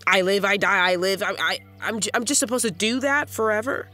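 A woman's voice: medium pitch, bright, forceful tone, faint music behind, natural voice. Troubled woman addict for fiction podcast, played by an actress. Medium register, raw, self-loathing, stumbling naturally over words. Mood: weary, despairing. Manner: halting, raw, conversational. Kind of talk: audio fiction drama acting.

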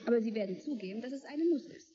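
An actress playing a sharp-tongued, skeptical young woman in a radio play, dry and probing in her delivery. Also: a little high, fast talker, natural voice. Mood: insistent. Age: young adult. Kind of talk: German radio play dialogue. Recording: dull, slightly noisy radio drama recording.

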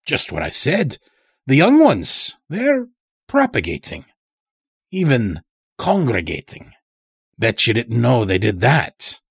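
A man performs a weary, sly old man from a dark audio story. His pitch is lifted and swings widely, and he rambles along in folksy, clipped grammar. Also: huge pitch swings, slow, creaky, pauses often, husky, dry recording, clean studio sound, exaggerated voice. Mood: ominous, knowing. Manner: folksy, rambling, dropped words.

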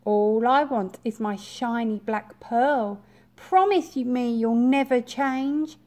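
A woman's voice: on the high side, slow pace, darkish tone. A woman voicing a romantic, easily hurt female caterpillar in a storybook, with emphatic delivery. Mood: insistent. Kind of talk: children's storybook read-aloud.

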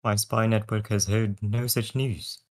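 A guarded chief enforcer read by a man at a table read. He sounds shifty and dry, in a fairly low voice, answering to his ruler. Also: flat delivery, unhurried, lightly acted.

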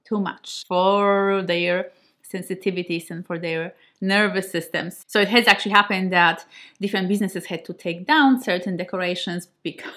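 A woman's voice: mid-range voice, fairly bright tone. Chatty Polish-born woman vlogging at home. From Poland. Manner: chatty and reflective, laughs often. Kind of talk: casual talk to camera. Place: home kitchen and living room, indoors.

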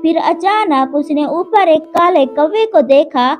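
A woman narrating a children's moral story in a slow storytelling manner, with music behind. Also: extremely high pitch, expressive. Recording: narration over cartoon music.

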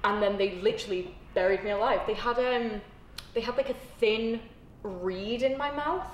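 A young British woman chatting casually as an interview guest, telling stories in an animated, joking way with plenty of "like". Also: medium pitch, moderate pace, echoey room, slight background noise, rough recording. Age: young adult. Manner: animated storytelling, frequent "like". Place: large bright indoor studio room, on a sofa. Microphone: handheld microphone.

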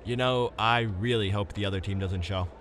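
A man's voice: fairly low pitch, steady tone, moderate pace, fairly bright tone, a little hiss or hum, lightly acted. A man voicing a sensible, worried young teammate who sounds doubtful and plain-spoken in a cartoon parody. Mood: reluctant.

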